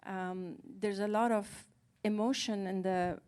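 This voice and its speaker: A woman co-translator who is a native Farsi speaker, talking on a panel, hesitant and pausing often to search for words. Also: medium pitch, steady tone, darkish tone, smooth. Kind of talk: casual panel talk.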